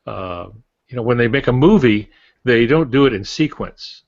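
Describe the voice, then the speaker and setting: A man's voice: medium pitch, expressive, normal speed. A man in his sixties co-hosting a podcast, explaining things in casual talk. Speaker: podcast co-host, professional writer. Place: room lined with shelves of typewriters. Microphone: earbuds on a video call. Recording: dull video-call audio.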